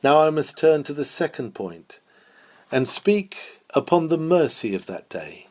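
A man's voice: fairly high pitch, very animated, a little slow. A man reading a scripted historical sermon aloud in a solemn, declamatory preaching manner.

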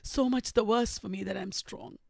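A woman's voice: medium pitch, fairly even intonation, moderate pace, vocal fry, a little mellow, no echo, studio quality. A woman reading the part of an anguished, savage, passionate male lover in an impassioned, accusing manner from a classic novel. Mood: accusing, heartbroken.

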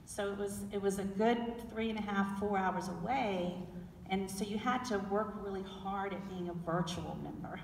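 A middle-aged woman from South Carolina with a Southern accent. She is a guest in an onstage fireside chat and talks in a relaxed, chatty way, with fillers and restarts. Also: medium pitch, moderate pace, gravelly, slight background noise. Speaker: panel guest. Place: large indoor event hall with an audience. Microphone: handheld mic, recorded by a far camera. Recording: roomy hall echo recorded from far off.

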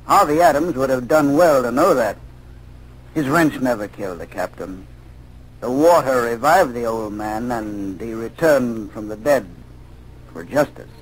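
An actor in a 1940s radio drama playing a mysterious old sea captain who tells his grim tale in a measured, cryptic way. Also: medium pitch, breathy edge, poor audio. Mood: grimly knowing.

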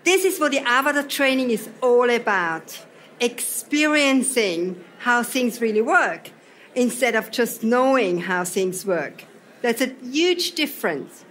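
A woman's voice: on the high side, expressive, a little slow, fairly bright tone, slight background noise, low-quality recording. Austrian woman leading a workshop talk. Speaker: seminar trainer. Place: event hall.

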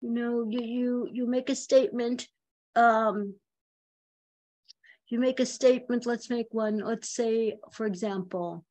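A woman in her fifties, a communication coach, giving chatty, animated speaking advice with a high voice over a video call from home. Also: a little slow, low-quality recording. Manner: chatty and animated, laughs often. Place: home room, indoors. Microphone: video call webcam.

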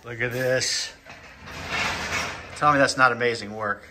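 A man's voice: mid-range voice, steady tone, a little slow, background noise. A man hosting a car vlog, talking casually and informally as he explains his project. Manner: informal, slangy. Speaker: car channel host working on his own Porsche builds.

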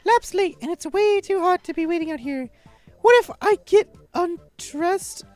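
Woman doing a very high-pitched, ditzy rabbit schoolgirl. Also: a little slow, darkish tone, no echo. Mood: languid. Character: ditzy, cheerful young rabbit-eared schoolgirl. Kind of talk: visual novel character dubbing. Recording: music behind the voice.

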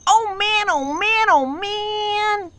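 A man's voice: very high-pitched, drawn-out words, heavy background noise, heavily acted. A man voicing a whiny, sorry male fox in a children's cartoon game, plaintive and exclaiming. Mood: whining, dismayed.

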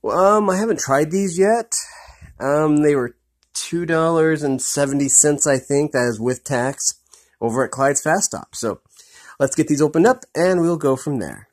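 A man's voice: fairly high pitch, animated, moderate pace. A man reviewing a beef stick on camera, talking casually with lots of ums and repeated "really, really good". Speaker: product reviewer. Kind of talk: casual food review vlog.

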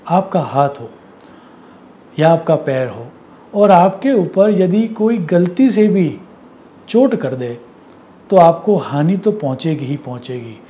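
A man gives a measured, didactic talk to camera, mixing in English words. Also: high voice, mellow. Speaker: Vastu consultant presenting. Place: indoor office or study. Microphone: lapel mic.

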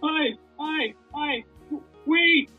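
A woman's voice: sits high, drawn-out words, narrow, tinny audio, soft background music, pitched above the performer's own voice. A woman voicing an earnest, flustered teenage schoolboy who stammers urgently in a fan fiction reading. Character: flustered, earnest teenage schoolboy shyly confessing his love.

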